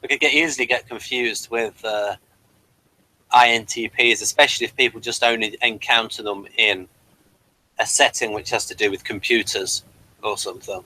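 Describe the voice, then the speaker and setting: Bright-voiced man hosting a casual chat. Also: on the low side, steady tone, moderate pace, dry recording, poor audio. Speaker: podcast host. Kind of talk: casual discussion of TV and personality types.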